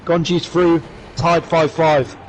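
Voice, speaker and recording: A man commentating an esports match play by play, hoarse from shouting, with quick excited calls. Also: fairly high pitch, a little hiss or hum, low-quality recording. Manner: rapid excited calls with rising bursts. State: hoarse, losing his voice.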